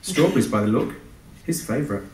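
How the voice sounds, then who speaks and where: A man's voice: medium pitch, lively intonation, brisk, darkish tone, slight background noise. A man with a British accent, an author giving a live literary reading. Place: live event in a hall. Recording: echoey hall, distant microphone.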